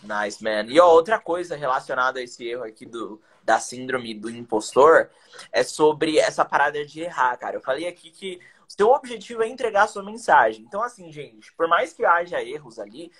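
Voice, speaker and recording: Young brazilian guy, english teacher on a webcam call, husky voice, chatty and energetic. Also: fairly high pitch, normal speed. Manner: energetic, slangy, explaining with rhetorical questions. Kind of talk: casual live-stream chat, teaching tips. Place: indoors, small room. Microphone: webcam on a video call.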